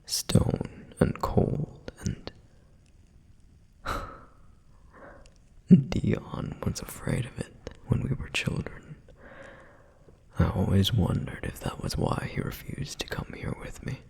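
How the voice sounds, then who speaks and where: A man voicing a dutiful, protective male flame demon in an ASMR roleplay, with a soft whispered and wistful, reflective delivery. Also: low-pitched, huge pitch swings, takes it slowly, gravelly, long pauses, breathy edge, full character voice.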